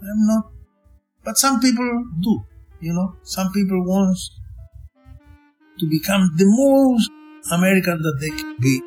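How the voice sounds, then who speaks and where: A man's voice: high-pitched, lively intonation, measured pace, pauses often, darkish tone, soft background music, rough recording. An older man from Chile with a Chilean Spanish accent and Spanish as his first language, speaking emphatically in an oral history interview.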